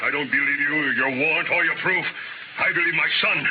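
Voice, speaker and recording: A man's voice: a little high, brisk, close to an everyday voice. An actor playing a proud, hot-tempered older rancher father in a Western radio drama, with an American accent and blustering delivery. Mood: furious. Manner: blustering, forceful in wording. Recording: muffled, very noisy old radio recording.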